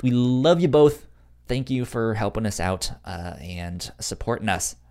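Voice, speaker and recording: A man's voice: on the low side, lively intonation, a little slow, darkish tone. A man chatting casually as the host of a podcast.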